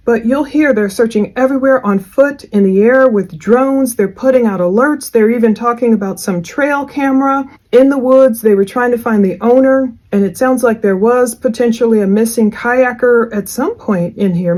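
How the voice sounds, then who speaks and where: A woman's voice: fairly high pitch, darkish tone. A woman hosting a true crime channel, talking through a case in a casual, earnest, rambling way.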